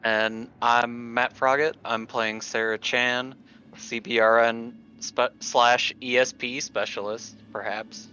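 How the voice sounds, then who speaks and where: Slow-talking man on a dull lo-fi mic. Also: medium pitch, little pitch movement, sharp tone, clean tone. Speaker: tabletop game player. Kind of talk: casual, halting introduction.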